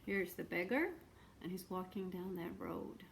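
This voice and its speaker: A woman reading a story aloud expressively, with dramatic pauses and small asides to the listeners. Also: fairly low pitch, gravelly, slight background noise.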